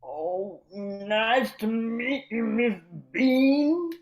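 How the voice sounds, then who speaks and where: A big hairy bayou monster played by a woman in a radio play. It talks extremely slowly, shy and reluctant, in a fairly high voice. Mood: reluctant politeness. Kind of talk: amateur radio drama acting.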